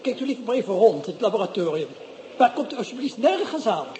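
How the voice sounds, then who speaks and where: A pedantic, irritable professor lectures in a high, mellow voice,. He is long-winded and easily annoyed. A faint hiss sits under the recording. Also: big pitch swings, fast talker. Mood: fussy. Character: fussy pedantic male professor, toxicologist. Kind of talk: radio drama acting.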